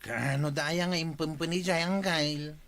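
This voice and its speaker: A man's voice: fairly high pitch, steady tone, moderate pace, creaky, fairly bright tone, no room sound. An actor with an Ilocano accent playing an earnest younger village man in a radio comedy drama. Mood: questioning.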